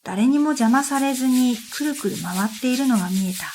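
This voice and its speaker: Higher-pitched woman narrating a radio drama. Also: a little fast, darkish tone, slight background noise. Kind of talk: scripted first-person story narration. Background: music.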